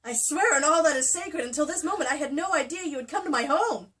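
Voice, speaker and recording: A woman playing a scheming, smooth, theatrically indignant older lord in a romance novel reading, recorded at a distance from the mic. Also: high voice, animated, quick pace, fairly bright tone, pitched above the performer's own voice. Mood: earnest.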